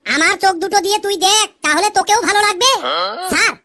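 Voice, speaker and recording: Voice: very high voice, fast talker, bright, forceful tone. A performer voicing a cheeky cartoon schoolkid who tells comic tales in an earnest, long-winded way. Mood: aggrieved, earnest. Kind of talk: cartoon comedy dialogue.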